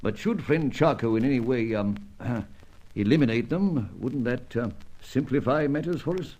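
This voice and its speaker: Actor as urbane spy chief, animated delivery. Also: male voice, medium pitch, moderate pace, close to an everyday voice, low-quality recording. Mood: grave, coolly persuasive. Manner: gentlemanly, hesitant ums, understated and pompous. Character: urbane older British spy chief, ruthless yet jovial. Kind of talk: old-time radio serial acting.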